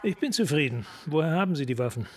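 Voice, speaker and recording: An actor playing a hard-boiled, bossy police detective in a German radio crime drama, curt and matter-of-fact. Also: male voice, a little high, lively intonation, fast talker, lightly acted. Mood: tough, pressing.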